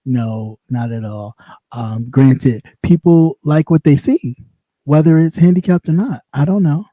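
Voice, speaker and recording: A man's voice: a little high, a little slow, warm tone, dry recording. A man with a light voice, ranting bluntly and casually as a livestream host, repeating himself as he goes. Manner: blunt and sassy, talks in loops and repeats himself. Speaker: live stream host; former radio host.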